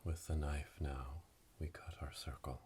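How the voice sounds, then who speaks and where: Casting: male performer as solemn coven witch for ASMR roleplay, very deep, hushed breathy whisper, flat ceremonial delivery. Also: a little slow, a little hiss or hum. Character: solemn witch elder leading a coven initiation. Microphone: close binaural microphone.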